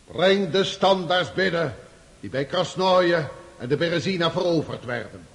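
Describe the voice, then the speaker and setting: An actor playing an old Russian field marshal, speaking in a formal, declamatory way in a radio play. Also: male voice, on the high side, animated, measured pace, gravelly, a bit bright, long reverb tail, a little hiss or hum, heavily acted. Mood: ceremonious.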